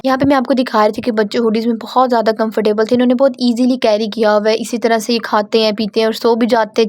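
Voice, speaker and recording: A woman narrating a casual home vlog in a chatty, affectionate way, often talking to her cats. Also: on the high side. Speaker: vlogger.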